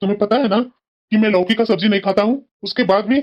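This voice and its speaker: A woman's voice: medium pitch, quick pace, muffled recording, over music, lightly acted. A woman voicing an irritable, picky son-in-law in a cartoon. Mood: irritated. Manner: complaining, demanding.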